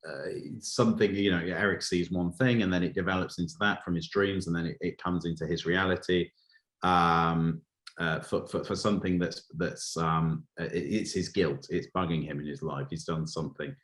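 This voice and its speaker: A man from Wales with a low-pitched voice speaks as a guest in an interview. He is a film director giving conversational answers, often halting and restarting as he searches for the right words. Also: fairly even intonation, fairly bright tone.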